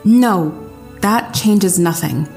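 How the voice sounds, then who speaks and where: An actress playing a sincere young girlfriend in an audio drama, speaking earnestly and deliberately. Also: medium pitch, lively intonation, vocal fry, darkish tone, soft background music, full character voice. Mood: emotional, earnest.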